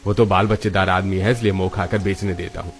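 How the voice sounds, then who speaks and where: A man's voice: low voice, level tone, fast. A man voicing a kindly, chatty older railway station master in a cartoon story, with faint music behind. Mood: consoling.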